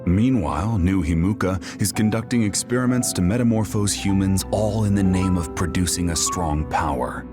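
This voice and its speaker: Guy playing a stern ex general in a game, deep voice, grave, music behind him. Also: normal speed, few pauses, lightly acted. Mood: grave, accusing. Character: grave, authoritative male former major general turned defector. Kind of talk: English video game cutscene dub.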